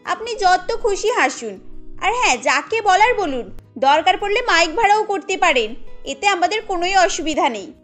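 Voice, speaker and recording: Woman as a defiant, high-pitched young wife. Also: sing-song, normal speed, fairly bright tone, soft background music, full character voice. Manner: defiant, assertive. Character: bold, loyal young daughter-in-law defending her sisters-in-law. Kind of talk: cartoon story dialogue.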